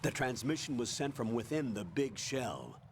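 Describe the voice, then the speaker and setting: An actor playing a calm, authoritative middle-aged colonel giving mission advice. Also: male voice, medium pitch, moderate pace, slight background noise. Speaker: commanding officer.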